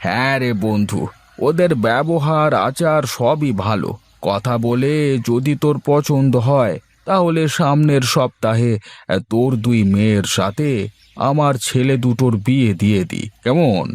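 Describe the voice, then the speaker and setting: A man's voice: mid-range voice, measured pace, darkish tone, lightly acted. A man voicing a genial, middle-aged village father who is proud of his sons, hearty and eager, in a cartoon with music. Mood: eager, friendly. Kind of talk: cartoon story dialogue. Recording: music under the voice.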